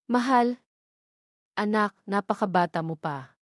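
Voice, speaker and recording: A woman's voice: mid-range voice, unhurried, no echo, clean studio sound, natural voice. A woman voicing a protective, disapproving mother in an audio drama, insistent and pleading in manner.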